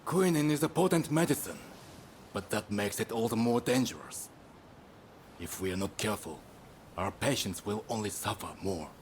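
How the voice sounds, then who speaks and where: Guy doing a grumbly older game doctor, lowish voice, grave, bit of noise. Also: animated, normal speed, close to an everyday voice.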